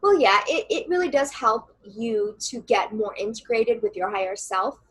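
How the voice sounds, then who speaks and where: Loud, breathy woman giving guidance. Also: fairly high pitch, moderate pace. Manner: earnest and reassuring, many fillers. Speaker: channeler and coach, guest. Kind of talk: casual interview, guiding a meditation exercise.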